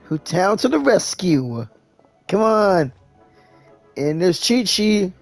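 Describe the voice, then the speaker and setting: A man's voice: high-pitched, big pitch swings, slow, gravelly, soft background music. A man streaming a video game, making brief, casual reactions.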